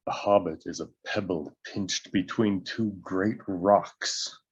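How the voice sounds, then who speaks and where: A man's voice: low-pitched, steady tone, slow, breathy edge, muffled recording, full character voice. A man playing a grave, urgent wise counsellor in a fantasy table read, dramatic and portentous. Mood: challenging.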